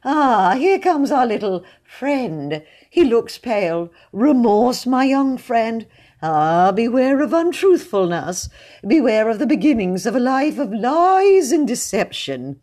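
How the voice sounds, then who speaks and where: A woman voicing a pompous, high-voiced gentleman poet. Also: very animated, heavily acted. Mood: pompous, gushing. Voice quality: high-pitched, affected, hesitant with drawn-out ums.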